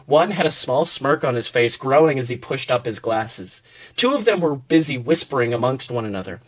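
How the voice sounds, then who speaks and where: A man's voice: mid-range voice, lively intonation, moderate pace. A man narrating a second-person story dramatically, as a podcast host reading fan fiction.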